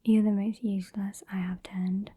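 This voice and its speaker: A woman's voice: mid-range voice, level tone, normal speed, background noise, close to an everyday voice. A woman playing a haughty, sassy female vampire with a British accent in a whispered ASMR roleplay, condescending and exasperated. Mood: exasperated scorn. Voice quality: whispery, breathy. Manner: condescending, exasperated, softly scolding. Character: sassy, haughty old female vampire scolding her fledgling.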